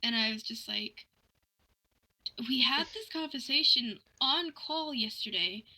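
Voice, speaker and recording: A young woman chatting casually, telling a story in an animated, ranting way and acting out both sides of conversations. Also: on the high side, a little slow, rough recording.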